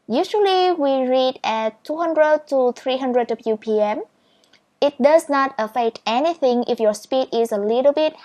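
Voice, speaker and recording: Slow, encouraging woman teaching speed reading. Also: high-pitched, darkish tone. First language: Vietnamese. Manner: encouraging, patient. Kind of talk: step-by-step tutorial.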